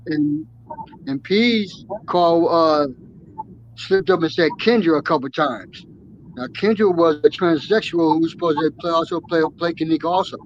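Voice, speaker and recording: A man's voice: a little high, moderate pace, slight background noise. A man guest on a casual live show who rambles and restarts his sentences often. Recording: dull lo-fi audio.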